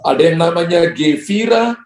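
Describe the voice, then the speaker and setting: A man's voice: on the high side, moderate pace, a little mellow, echoey room. A man, a pastor, praying prophetic words over callers and chatting with them in a joking, pastoral way. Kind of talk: prophetic prayer and ministry to callers.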